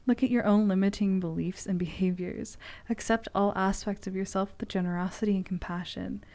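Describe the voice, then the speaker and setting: A woman's voice: mid-range voice, steady tone, brisk, a little mellow. A woman giving tarot readings on a stream in a playful, casually profane way, moving between loose chat and reading aloud.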